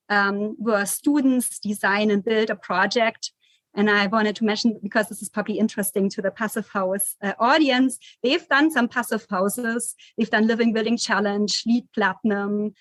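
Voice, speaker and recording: A woman's voice: mid-range voice, no room sound. A woman in her forties from Germany with a German accent, a guest architect giving chatty interview answers full of fillers. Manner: chatty, with many fillers and restarts.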